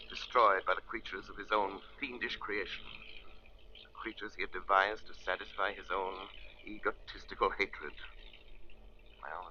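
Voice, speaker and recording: An actor playing a grave, eloquent gentleman crime fighter in a 1930s radio melodrama. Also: low-pitched, lightly acted. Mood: solemn. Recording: noisy, muffled 1930s radio recording.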